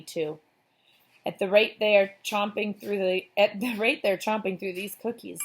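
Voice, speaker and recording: A woman with a slightly low voice narrates a children's book aloud. Her delivery is expressive storybook reading.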